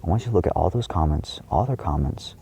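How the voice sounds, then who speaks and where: Very deep, gravelly, husky man's voice. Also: lively intonation, fast, mellow. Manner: indignant, emphatic, repetitive; frequent swearing. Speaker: video creator addressing viewers. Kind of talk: impassioned monologue to viewers.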